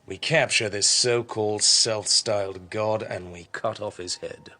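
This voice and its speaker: A man's voice: lowish voice, fairly even intonation, a little slow, bright, forceful tone. An actor playing a contemptuous, tyrannical king in a radio drama, speaking with scornful, emphatic declamation. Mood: cold, ruthless. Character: contemptuous, tyrannical male king obsessed with order.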